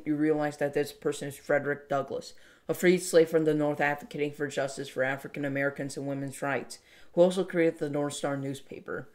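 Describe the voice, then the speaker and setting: A narrator reading scripted storytelling that places the listener inside a scene. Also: mid-range voice. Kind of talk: scripted second-person scene-setting narration.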